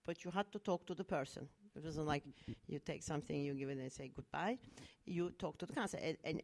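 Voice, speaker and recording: A middle-aged woman from Turkey telling a personal story in a public talk, earnest and reflective, with fillers and restarts. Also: on the low side. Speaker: speaker at a talk.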